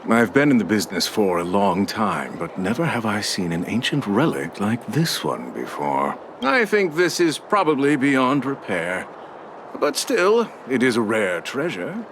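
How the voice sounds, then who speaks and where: A man's voice: fairly low pitch, very animated, unhurried, fairly bright tone, a little hiss or hum. An actor playing a crafty middle-aged male antiques appraiser in a video game, haggling in a wheedling manner. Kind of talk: English video game voice acting.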